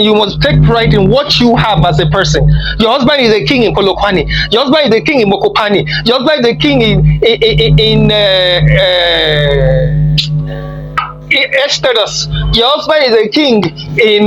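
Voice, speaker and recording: A woman's voice: medium pitch, poor audio. A woman from Nigeria with a Nigerian accent, ranting sarcastically and teasingly on a social media livestream. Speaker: livestream participant. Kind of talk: mocking rant on a social media live.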